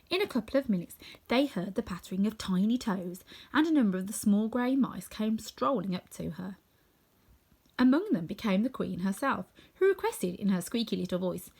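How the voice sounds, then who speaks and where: A woman narrating a children's storybook in an expressive, scripted reading. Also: mid-range voice, husky. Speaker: storybook reader.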